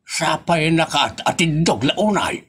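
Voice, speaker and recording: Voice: mid-range voice, lively intonation, takes it slowly, fairly bright tone, a little hiss or hum. An actor playing a grumbling, impatient older Filipino man with an Ilocano accent in a comedy audio drama.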